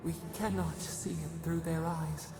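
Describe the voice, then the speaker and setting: Ancient imprisoned god, fantasy game; sorrowful, very gravelly, slow and echoing. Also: female voice, low-pitched, steady tone, heavily acted. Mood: mournful, brooding. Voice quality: heavily creaky. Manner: slow, cryptic, halting. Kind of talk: fantasy video game acting. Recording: echoing voice over prominent music.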